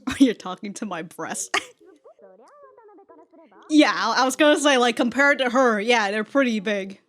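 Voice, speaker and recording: A woman's voice: fairly high pitch, a little slow, fairly bright tone. A woman giving casual, slangy, sweary and giggly reaction commentary as host of a reaction video.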